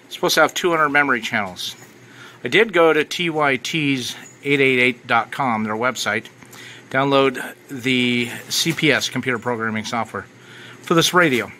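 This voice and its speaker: A man talks casually through an unboxing as a reviewer. His voice sits at a middle pitch with a bright, forceful edge. There is faint noise behind him in a simple handheld recording. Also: normal speed. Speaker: ham radio reviewer.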